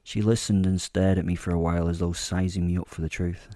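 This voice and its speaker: A man giving brooding, measured first-person narration as a predatory killer in a horror audio drama. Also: low voice, level tone, mellow, dry recording, clean studio sound.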